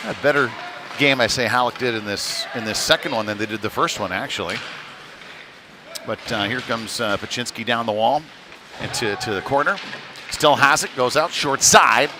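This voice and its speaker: A man giving halting, conversational live play-by-play of a youth hockey game in a folksy manner. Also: medium pitch. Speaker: play-by-play commentator. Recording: noisy live rink stream.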